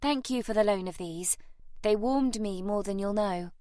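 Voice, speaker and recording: A woman voicing a dignified, calm and determined young aristocratic lady in an audiobook. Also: medium pitch, normal speed, dry recording, studio quality, natural voice. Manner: poised, earnest, insistent.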